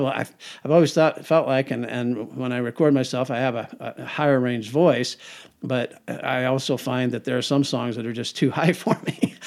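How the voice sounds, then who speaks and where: An older man talks through his songwriting habits in a loose, meandering way. He restarts phrases, repeats words and laughs softly to himself. His voice sits at an ordinary middle pitch. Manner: rambling, repeats words, chuckles often. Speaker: singer-songwriter guest. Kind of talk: casual interview answers.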